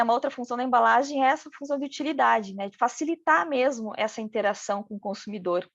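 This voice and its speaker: A woman with a regional Brazilian accent teaches a class, speaking as a business owner and tagging her points with "né?". Her voice sits a little high, and the dry recording sounds slightly dull and lo-fi. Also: no room sound. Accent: regional Brazilian Portuguese. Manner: talks in first person as a business owner, many "né" tags. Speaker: packaging design instructor. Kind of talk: online training lecture.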